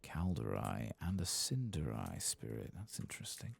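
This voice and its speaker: Very deep, gravelly soft-spoken man. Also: sing-song, moderate pace. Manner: mumbling, half-whispered asides while playing. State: voice lower after singing. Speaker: ASMR gaming channel host. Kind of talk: soft-spoken gameplay commentary.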